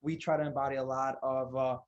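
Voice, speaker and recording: A man's voice: mid-range voice, level tone, brisk, dull audio. A man hosting an interview podcast in an earnest, slangy way. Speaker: podcast host.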